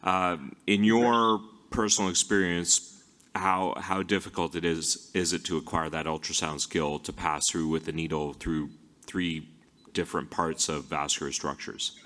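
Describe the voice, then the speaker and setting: A man moderating a live surgical session, asking a measured, detailed question. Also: sits low, fairly even intonation, a bit bright.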